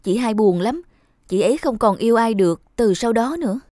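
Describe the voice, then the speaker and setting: A woman's voice: on the high side, animated, brisk, mellow, no echo, studio quality, lightly acted. A woman playing a tender, wistful young wife in a cartoon. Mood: sad, guilty. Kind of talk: cartoon dialogue.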